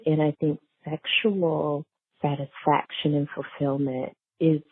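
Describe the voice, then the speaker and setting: A woman interviewed as an author, talking casually and thoughtfully with halting phrases and emphatic key words, on narrow muffled audio. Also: deep voice, slightly rough, no room sound. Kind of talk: casual conversational interview, explaining characters.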